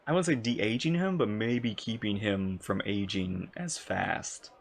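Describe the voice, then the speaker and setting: A man giving casual live reaction commentary on a game, with informal, halting asides. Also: fairly low pitch, gravelly.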